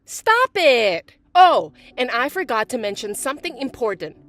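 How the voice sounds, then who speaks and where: A woman voicing a bratty, spiteful young stepsister who talks in a shrill, mocking, bossy way. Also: very animated, a little slow, a bit bright, soft background music. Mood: angry. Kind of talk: voiced text-message drama.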